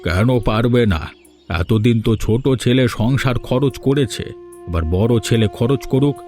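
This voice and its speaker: A man's voice: medium pitch, a little slow, darkish tone, natural voice. A man voicing a weary, reproachful old father-in-law in a Bengali cartoon. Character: grumbling old father-in-law scolding his wife. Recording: music behind the voice.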